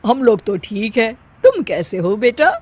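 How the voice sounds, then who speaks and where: A woman's voice: a little high, very animated, a little slow, a little mellow, breathy edge, no room sound, exaggerated voice. A woman voicing a scheming, resentful middle-aged mother-in-law in a cartoon story, sarcastic and emphatic. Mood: suspicious. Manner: sarcastic, muttering threats, emphatic.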